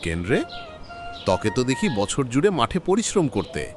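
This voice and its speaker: A man's voice: medium pitch, huge pitch swings, moderate pace. A man playing a kindly old village uncle in a cartoon, rustic, sighing and reassuring, with music behind him. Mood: resigned, consoling.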